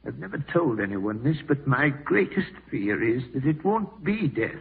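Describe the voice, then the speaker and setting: A man's voice: mid-range voice, very animated, moderate pace, full character voice. An actor playing an aged, irascible dying man haunted by fear, in a 1940s radio drama style. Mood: gruff, irritable. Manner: crotchety, brusque. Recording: muffled distant husky old radio recording.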